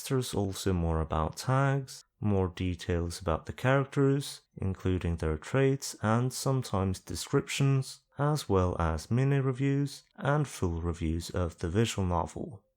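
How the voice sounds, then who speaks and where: A man's voice: low-pitched, a little mellow. A man narrating an explanatory tutorial in a plain-spoken, dry, self-deprecating way. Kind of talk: explanatory screen-guide narration with casual self-deprecating asides.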